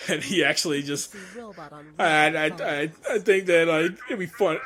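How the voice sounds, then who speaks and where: Excitable guy doing casual commentary, kinda high voice, rambles and trips over his words. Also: unhurried. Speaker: director of a fan comic dub. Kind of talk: casual director's audio commentary.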